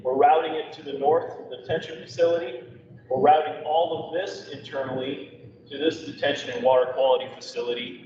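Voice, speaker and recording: A man presenting engineering plans at a public meeting, explaining step by step. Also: a little high. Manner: plain, methodical explaining. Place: large meeting room. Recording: echoey hall, far from mic, dull, background noise.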